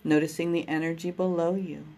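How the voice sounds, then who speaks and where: A woman guiding a breathing meditation, speaking in a slow, soothing, gentle way. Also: lowish voice, little pitch movement. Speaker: meditation guide.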